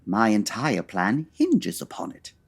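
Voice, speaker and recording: A woman playing a pompous, dryly impatient male Victorian detective with a husky voice and formal, precise diction, in a comedy audio drama. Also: rumbling low, huge pitch swings, a little slow, exaggerated voice. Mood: instructive, dry.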